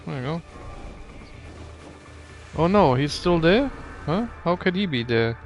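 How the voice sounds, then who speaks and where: A man's voice: fairly high pitch, lively intonation, unhurried, lo-fi sound, soft background music. A man giving casual gameplay commentary, muttering wryly and thinking aloud as he plays. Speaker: gaming video host.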